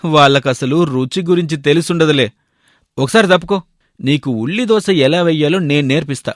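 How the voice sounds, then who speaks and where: Guy acting a picky bachelor shopkeeper in a cartoon story, highish voice, complains a lot, bossy. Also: normal speed, no room sound, clean studio sound, natural voice. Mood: fussy. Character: food-loving bachelor shopkeeper, fussy and grumbling.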